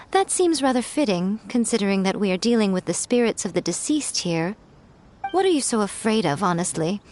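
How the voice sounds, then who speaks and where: A woman voicing a sly, playful female schemer in a video game who teases in an unhurried way and chuckles often. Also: medium pitch, expressive, a little mellow, a little hiss or hum, close to an everyday voice. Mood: teasing.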